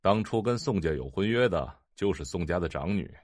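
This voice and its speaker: A contemptuous, calculating heir in an audio drama. His voice is very low and closely recorded with a dry sound, and he sneers with cool, unhurried disdain. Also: lively intonation, dry recording. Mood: sly, matter-of-fact. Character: cold, contemptuous, calculating rich husband and heir.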